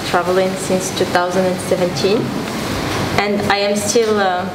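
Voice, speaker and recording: A woman from Morocco taking part in a class speaks earnestly and haltingly, with many fillers. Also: mid-range voice, little pitch movement. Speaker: class participant. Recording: echoey room, distant mic, loud hum.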